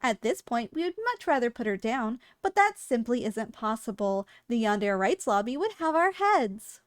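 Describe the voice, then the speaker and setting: A high, clearly feminine voice in a very dry recording, a woman acting as a businesslike shop clerk in an ASMR roleplay. She gives formal, courteous sales patter to the customer. Her delivery leans on dry understatement, keeping everything professional. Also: very animated, normal speed, no room sound. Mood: frank, wry. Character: polite, businesslike female shop clerk selling dangerous yandere girls. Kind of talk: scripted ASMR roleplay addressed to the listener.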